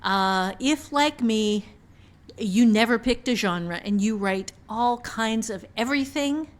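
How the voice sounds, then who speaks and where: A woman's voice: fairly high pitch, slow pace. A woman author and teacher explaining casually on a podcast, repeating phrases for emphasis.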